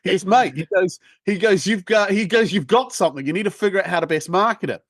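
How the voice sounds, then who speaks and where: A man in his forties with a New Zealand accent talks as an interview guest, spinning brash, jokey stories. His voice sits fairly high for a man. The sound is close and dry. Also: fast talker, fairly bright tone, no room sound. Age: 40s. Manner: brash, joking storyteller. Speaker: guest, sales trainer. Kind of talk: casual interview chat.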